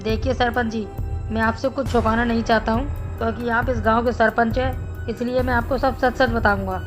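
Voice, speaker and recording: A woman voicing a concerned male village doctor in an animated Hindi story, explaining things in a measured way. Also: fairly high pitch, lightly acted. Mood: grave. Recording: music behind the voice.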